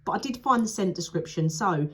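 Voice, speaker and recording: A woman's voice: mid-range voice, expressive, fairly quick. A young woman reviewing candles in a chatty, frank manner, sounding nasal and sniffly from a cold. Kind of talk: casual product review vlog.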